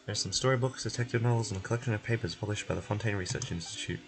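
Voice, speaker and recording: Guy streaming a game and reading the text out loud, music in the background. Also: on the low side, rapid-fire. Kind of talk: live game read-aloud.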